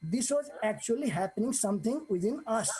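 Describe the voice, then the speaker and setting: Hesitant man, soft husky voice. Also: sits high, animated, brisk, rough recording. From Nepal. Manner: hesitant, many fillers and restarts. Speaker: guest sharing a testimony. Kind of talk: unscripted personal testimony.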